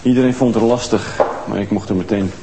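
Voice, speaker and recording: A man's voice: fairly low pitch, fairly even intonation, brisk, dark tone, lightly acted. An actor playing the younger husband of a murdered old woman, a former nurse, answering in a guarded, defensive way. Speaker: widower of the victim. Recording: TV sound with room echo and background noise.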